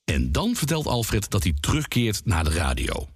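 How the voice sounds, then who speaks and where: Male podcast narrator, raspy and animated, delivering scripted links. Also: fairly low pitch, moderate pace, faint music behind. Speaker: podcast host.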